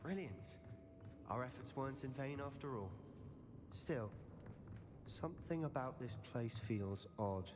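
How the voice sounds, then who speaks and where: Male actor as a young student wizard, curious, animated delivery. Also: medium pitch, measured pace, long pauses, over music, natural voice.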